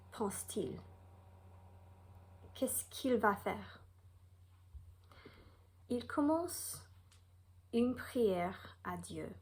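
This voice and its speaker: A woman from the United States, a native English speaker with an American accent, reading a Bible lesson in careful, deliberate short phrases. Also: on the high side. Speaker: Bible teacher.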